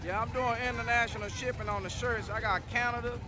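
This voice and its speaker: A man with a Texan accent chatting casually on a livestream, slangy and exclamatory, giving shout-outs as the host. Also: extremely high pitch, steady tone, a little fast. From Dallas, Texas. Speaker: livestream host of a street racing channel. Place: outdoors at a drag racing strip. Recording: noisy outdoor trackside with car sounds.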